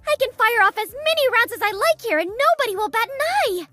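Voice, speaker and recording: A woman's voice: very high-pitched, lively intonation, brisk, sharp tone, no echo, soft background music, heavily acted. A woman voicing a cheerful, eager young android girl in an anime game, perky, naive and earnest. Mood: excited, chirpy.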